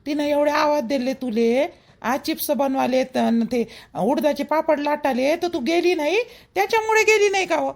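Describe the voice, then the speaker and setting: A woman's voice: high voice, normal speed, creaky. A woman voicing a middle-aged, protective, gossipy mother-in-law in a village cartoon, emphatic and repetitive, with a Vidarbha Marathi accent. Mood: surprised, probing. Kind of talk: rural family cartoon dialogue.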